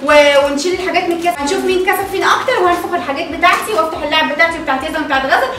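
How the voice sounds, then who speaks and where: A woman's voice: extremely high pitch, racing. A woman in her thirties with an Egyptian Arabic accent, chatting playfully to viewers while unboxing toys at home. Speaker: family channel host. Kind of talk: casual toy unboxing vlog. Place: living room at home, indoors. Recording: echoey tiled room, faint room noise.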